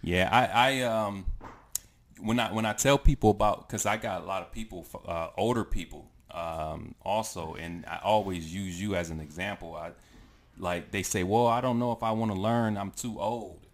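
A man in his thirties chatting casually and haltingly, often repeating his phrases as he goes. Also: low-pitched. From United States. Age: 30s. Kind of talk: casual chat.

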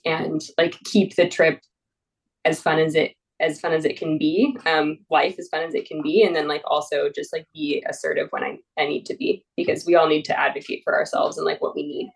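A young woman guest speaks in a low, deep-set register, chatting loosely with plenty of "um" and "like" and frequent laughter. She sits back from the microphone, so her voice carries a touch of distance. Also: little pitch movement, brisk, vocal fry, breathy edge. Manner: laughs easily, many fillers and restarts. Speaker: mountain guide, guest. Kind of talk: casual interview talk.

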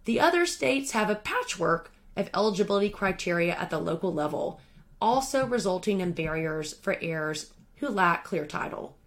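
A woman reading a written article aloud as scripted narration, in a measured, formal manner. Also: medium pitch, big pitch swings.

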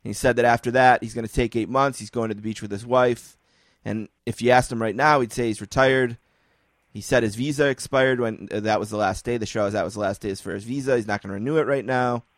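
A man's voice: mid-range voice, steady tone, dry recording, studio quality. A man hosting a podcast, chatting casually and joking at his own expense. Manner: chatty, self-deprecating, quick asides. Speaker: podcast host. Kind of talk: casual chat.

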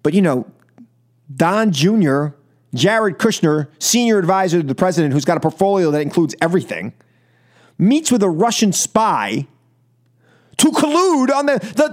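A man's voice: high voice, very animated, normal speed. An American man hosting political talk radio, speaking emphatically and with exasperation, often repeating phrases and posing rhetorical questions. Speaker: radio talk show host. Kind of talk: political opinion monologue and caller talk on radio.

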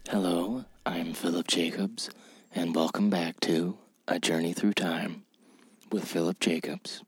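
A man playing a bumbling, pompous radio interviewer who speaks in a halting, repetitive, earnest way. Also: low voice, steady tone. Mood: self-important, formal. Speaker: radio show host. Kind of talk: mock old-time radio interview show.

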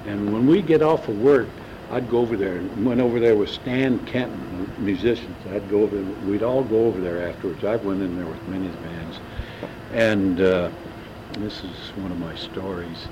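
A man's voice: on the low side, normal speed. A man in his seventies reminiscing in a casual oral-history interview, rambling and often stalling as he searches for names. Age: 70s. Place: indoors in a large banquet room. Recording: lo-fi tape with loud hiss.

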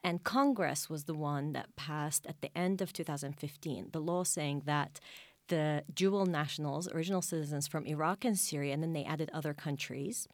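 A woman's voice: deep voice, normal speed, no echo. Firm, precise woman panel guest. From Sweden. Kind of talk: studio discussion.

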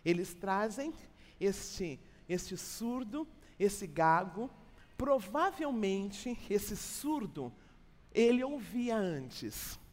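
A woman's voice: medium pitch, big pitch swings, very slow, darkish tone. A woman with a Brazilian Portuguese accent preaching an expository church sermon in a colloquial, teacherly way, repeating phrases for emphasis. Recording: hall with long reverb.

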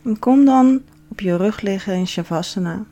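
A woman leading a guided relaxation as a yoga instructor, giving soothing, measured instructions with pauses. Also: mid-range voice, dark tone, lo-fi sound, slight background noise. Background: background music.

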